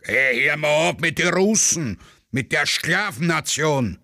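Ein Mann spielt einen prahlerischen, plaudernden Offizier mit österreichischem Akzent. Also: male voice, fairly high pitch, lively intonation, measured pace, sharp tone, no echo, studio quality, exaggerated voice. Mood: jovial boasting. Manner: chatty, bragging, dialect-heavy. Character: boastful Austrian army officer, gossiping.

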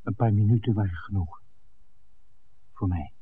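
A man's voice: on the low side, normal speed, breathy edge. An actor playing an eager, impulsive, ardent man in a 1960s radio drama, speaking earnestly and directly. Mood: earnest, smitten. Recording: narrow, noisy old radio recording.

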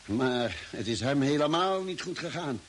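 An actor playing a cautious, caring expedition doctor in an old radio play. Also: male voice, medium pitch, moderate pace, natural voice. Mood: cautious, concerned. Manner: calm, reassuring, cautioning. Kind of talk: mid-20th-century Dutch radio play acting. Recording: hissy old radio drama recording.

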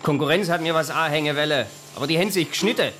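A man's voice: a little high, very fast, fairly bright tone, slight background noise, natural voice. An actor playing an indignant, pompous and pious businessman with a southern German accent in a radio drama. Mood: blustering, outraged. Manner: blustering, pompous, self-righteous. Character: indignant, pious businessman, church elder, blustering when accused.

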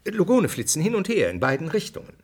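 A didactic researcher in a radio drama interview. His voice is slightly high and rough-edged, earnest and brusque as he explains. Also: wildly swooping pitch, quick pace, full character voice. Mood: explaining. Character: earnest male scientist, explaining theory, brusquely dismissive of speculation.

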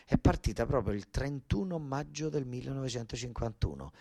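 A man's voice: medium pitch, animated, a little fast, creaky, warm tone, husky, dry recording, clean studio sound. A man with a southern Italian accent from Basilicata, telling a first-person story as theatre in a colloquial, emphatic way, repeating phrases for effect. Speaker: monologue actor and narrator.

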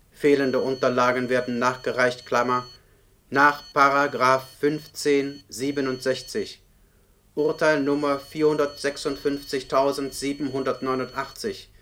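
Male actor as dry bureaucratic man, mid-pitched, monotone record reading, radio drama, slightly noisy recording. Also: close to an everyday voice. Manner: monotone, formal, spells out punctuation. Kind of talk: German radio play acting, document read-out. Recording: older radio drama, noticeable background noise.

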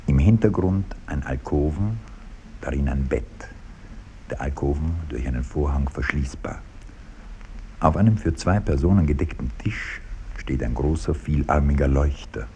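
Male narrator, extremely deep voice, scripted stage directions, vintage radio production, faint noise. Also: warm tone.

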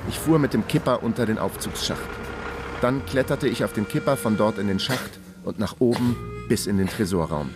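An actor playing a wry, hapless investigator who narrates his own case in a deadpan way in a radio crime drama. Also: fairly low pitch, normal speed, lightly acted. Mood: laconic, matter-of-fact. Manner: laconic, deadpan. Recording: music behind the voice.

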